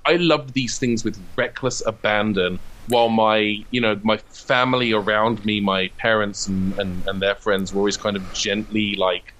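A man's voice: lowish voice, steady tone, normal speed, few pauses, a bit bright, poor audio. A man in his thirties from the UK with a British accent, reminiscing casually as an interview guest. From United Kingdom. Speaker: interview guest, comedian and writer.

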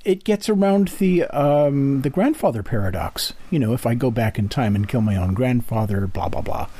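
Halting male co-host with a mellow voice. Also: mid-range voice, animated. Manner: halting, searching for words. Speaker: podcast co-host, interviewer. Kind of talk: casual discussion.

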